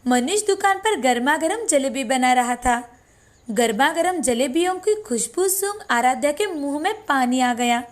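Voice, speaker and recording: A woman's voice: high voice, lively intonation, unhurried. A woman reading a scripted children's story as narrator. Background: faint music.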